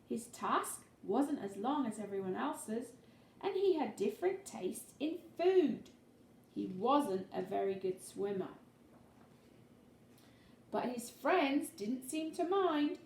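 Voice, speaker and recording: A woman tells a children's story in a very animated, sing-out read-aloud style. She goes slowly and stretches words for emphasis, with some creak in the voice. The living room is echoey. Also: a little high. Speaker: storyteller reading a children's picture book. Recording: echoey living room, faint background noise.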